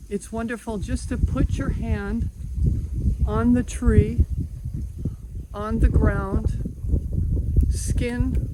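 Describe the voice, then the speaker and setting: A middle-aged woman from New York giving an earnest, encouraging inspirational talk outdoors. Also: medium pitch. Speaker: video host. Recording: outdoor noise in background.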